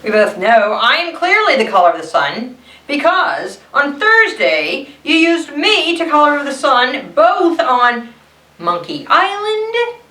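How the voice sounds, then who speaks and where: Smug, feuding crayon for a storybook, woman performer; drawn-out emphasis, swooping pitch, roomy echo. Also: bright, forceful tone, heavily acted. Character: smug, feuding orange crayon, slyly competitive. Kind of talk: children's storybook read-aloud. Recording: roomy echo, distant mic.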